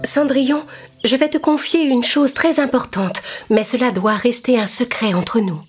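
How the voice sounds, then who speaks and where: A woman's voice: fairly high pitch, fairly even intonation, normal speed, music in the background, voice pushed up, natural voice. A woman voicing a good fairy, solemn and instructive, in a children's cartoon tale. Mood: confiding.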